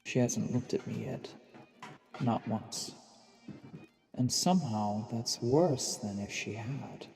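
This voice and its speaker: A man playing a watchful, brooding assassin posing as a noble, narrating deliberately with dramatic pauses in a fantasy audio drama. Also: mid-range voice, vocal fry, darkish tone. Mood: tense, awed. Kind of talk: dramatic first-person scripted narration. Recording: long reverb, music underneath.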